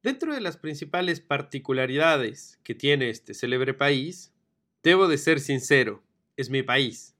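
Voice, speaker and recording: A man's voice: mid-range voice, lively intonation, a little slow, fairly bright tone. A man from Ecuador hosting a travel podcast, talking enthusiastically and expansively. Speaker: podcast host. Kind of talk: solo travel podcast narration.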